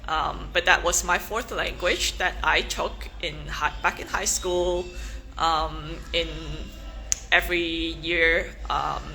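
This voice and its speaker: A young woman from Hong Kong, a native Cantonese speaker, introduces herself on a casual livestream, slowly and with many ums and restarts. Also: lowish voice, steady tone, vocal fry, pushed and bright, soft background music, rough recording. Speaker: pageant contestant.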